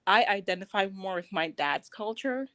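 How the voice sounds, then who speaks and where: A woman's voice: mid-range voice, lively intonation, moderate pace, a bit bright. A woman from Barbados, a guest on a casual live-stream chat, bubbly, often laughing and trailing off mid-sentence.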